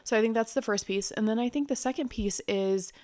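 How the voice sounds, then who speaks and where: A woman co-hosting a podcast in casual chat, sounding nasal and stuffy from a cold as she explains things in a steady, reflective way. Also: a little high, fairly quick. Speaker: podcast co-host.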